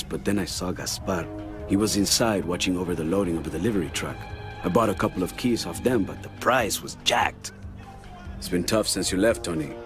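A man's voice: sits low, lightly acted. A man acting a loyal, anxious small-time drug dealer in a video game, talking in a streetwise, confiding way.

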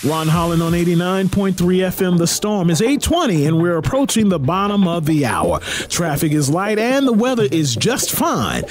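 Male talk radio host: lively, swooping delivery, theatrical and teasing, music bed underneath. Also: sits high, normal speed, few pauses. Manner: punchy, theatrical announcer delivery with teasing asides. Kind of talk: radio news-talk commentary. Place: small radio studio. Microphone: close broadcast boom mic.

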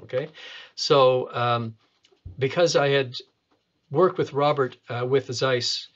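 An elderly man from Canada recalls his medical career in an interview. He speaks at a middling pitch, halting often and repeating words. The sound is a little dull, as from a video call in an office. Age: 70s. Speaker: retina surgeon, interviewee. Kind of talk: reminiscing in an interview.

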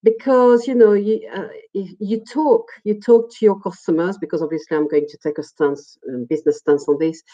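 French-accented woman lecturer, dark muffled sound. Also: fairly low pitch, steady tone, moderate pace, hardly any pauses. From France. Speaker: university lecturer. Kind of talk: webinar presentation, conversational lecture. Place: small indoor room, video call. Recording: muffled, dull webinar audio.

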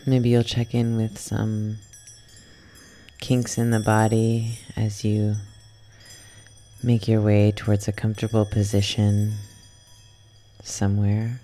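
Very dark, slow, soft-spoken meditation guide. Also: medium pitch, monotone, soft background music. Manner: soft-spoken, soothing, unhurried delivery with long pauses. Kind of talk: ASMR guided yoga nidra meditation.

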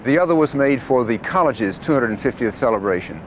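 A middle-aged man who leads a university, addressing the camera in a measured, stately way in a grand hall. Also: mid-range voice, animated, a little hiss or hum. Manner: measured, stately delivery with some hesitations. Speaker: university leader.

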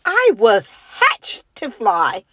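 A woman's voice: on the high side, huge pitch swings, drawn-out words, a bit bright, no room sound, studio quality, full character voice. A woman voicing an eager, dreamy little male penguin in an earnest, exclamatory storybook manner.

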